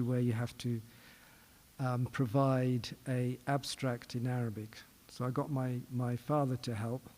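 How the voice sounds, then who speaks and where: A middle-aged man from England giving a measured public lecture as a psychiatrist, posing questions and restarting phrases as he explains. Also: mid-range voice, darkish tone, big-room sound. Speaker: psychiatrist giving a forum talk. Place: conference hall.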